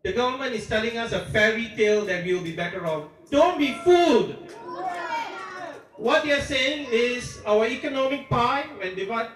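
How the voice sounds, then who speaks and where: A man's voice: extremely high pitch, animated, normal speed. A Singaporean man giving a rousing speech at an outdoor protest rally, taped from far off. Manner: rousing, declamatory, chuckles between points. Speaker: protest speaker. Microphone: amateur recorder from far off. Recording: distant, echoey, loud crowd noise. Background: large cheering crowd.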